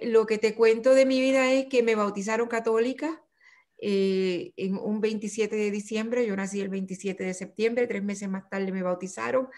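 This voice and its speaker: A woman's voice: mid-range voice, a little mellow. An older woman with a Puerto Rican Spanish accent, a guest in a remote interview, speaking reflectively and often restarting her phrases. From Puerto Rico.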